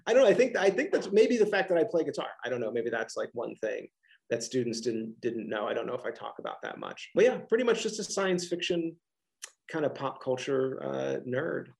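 A man's voice: mid-range voice, lively intonation, a little fast, vocal fry, darkish tone. A middle-aged man with a Philadelphia accent, a college professor answering interview questions casually on a video call, with many restarts and fillers.

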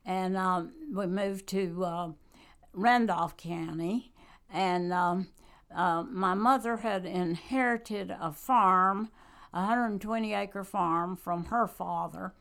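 A woman, talking slowly in a casual interview as a guest and author, with many pauses and fillers. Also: medium pitch, vocal fry, a bit bright. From North Carolina. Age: 90s. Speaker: guest, church member and author.

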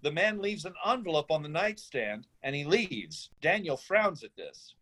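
A man's voice: a little high, expressive, normal speed, a bit bright. A man reading out stage directions and scene recaps as scripted narration for a screenplay reading.

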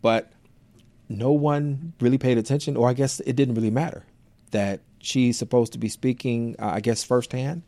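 Dude with a gravelly mellow voice, cohost, kinda halting, repeats himself. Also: male voice, mid-range voice, fairly even intonation, no room sound. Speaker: podcast co-host. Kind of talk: casual political discussion.

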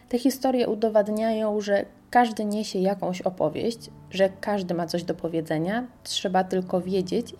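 A woman radio host reading a scripted podcast recommendation at an even, measured pace. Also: mid-range voice.

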